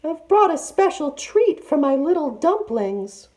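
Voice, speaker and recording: A cloying, very high-pitched old auntie who is really a male tiger in a storybook, as a woman reader puts on the voice. The delivery is coaxing and drawn out, with big swoops in pitch. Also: big pitch swings, unhurried, warm tone. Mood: sighing, coaxing. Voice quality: high-pitched, affected falsetto. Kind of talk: storybook read-aloud character voice.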